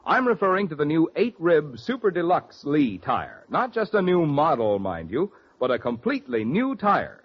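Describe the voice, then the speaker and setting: A man announces in the style of 1940s radio advertising. His voice is fairly high and animated, with emphatic, polished delivery. The recording is old and muffled. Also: normal speed, few pauses, husky. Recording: dull vintage radio recording.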